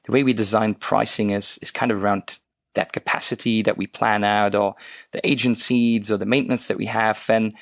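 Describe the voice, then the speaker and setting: A man's voice: on the low side, flat delivery, fairly quick, a bit bright, dry recording. A man from Luxembourg, co-hosting a tech podcast, explains things eagerly with many fillers. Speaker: co-host. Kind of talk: casual tech podcast chat.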